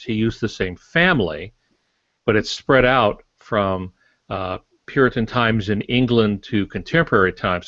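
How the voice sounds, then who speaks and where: An older man co-hosting a podcast, explaining casually in measured, hesitant phrases. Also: lowish voice, a bit bright, no room sound, dull audio. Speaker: podcast co-host.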